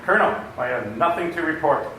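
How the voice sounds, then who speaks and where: A man's voice: fairly high pitch, animated, fast, far from the mic, natural voice. A man playing a friendly, plainspoken neighborhood police officer in a radio comedy, folksy and matter-of-fact. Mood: affable. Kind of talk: old-time radio comedy acting. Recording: echoey hall from afar, faint noise.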